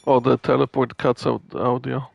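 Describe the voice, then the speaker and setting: A man's voice: medium pitch, flat delivery, moderate pace, creaky, a little mellow, muffled recording. A man about fifty hosting a gaming stream, talking in short agreeable remarks. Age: 50s. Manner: brief, agreeable interjections. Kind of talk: casual gaming chat.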